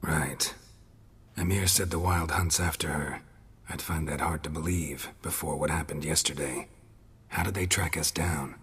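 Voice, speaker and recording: Super deep raspy monster hunter guy, gruff n deadpan, video game, echoey hall. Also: measured pace, exaggerated voice. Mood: serious. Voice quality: gravelly, husky. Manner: terse, deadpan. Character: gruff, world-weary male monster hunter, dryly sardonic. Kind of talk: dramatic video game voice acting. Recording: long hall reverb.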